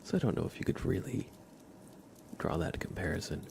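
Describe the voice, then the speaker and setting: A soft-spoken man hosting an ASMR livestream chat, hesitant and often slipping into whispers. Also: sits low, lively intonation, a little fast, gravelly, a little hiss or hum. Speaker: livestream host.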